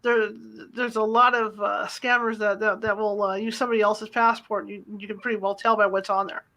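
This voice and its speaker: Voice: mid-range voice, little pitch movement, brisk, pushed and bright. An interview host asking questions casually, with hesitant restarts.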